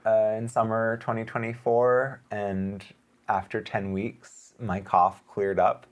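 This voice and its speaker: A man who is a pastor and missionary, talking earnestly in casual conversation, laughing often and mocking others in high sarcastic voices, with a lingering cough. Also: flat delivery, slow.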